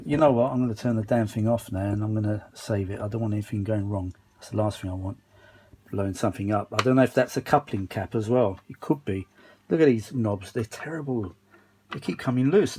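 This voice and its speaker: A man's voice: medium pitch, background noise. A man giving a casual, unscripted workbench update to camera, rambling and thinking aloud with some mild swearing. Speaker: vintage audio hobbyist filming a repair update. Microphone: phone camera held while filming.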